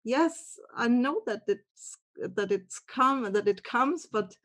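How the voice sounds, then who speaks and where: A woman from Austria, a guest author talking in halting with many restarts and light laughter. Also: fairly high pitch, animated, fairly bright tone. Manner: halting non-native English, frequent laughs and restarts. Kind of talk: interview conversation.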